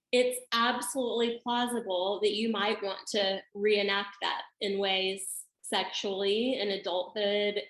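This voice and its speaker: A middle-aged woman psychologist answering interview questions in a measured, thoughtful way. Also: medium pitch, fairly bright tone. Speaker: psychologist guest. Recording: echoey room sound.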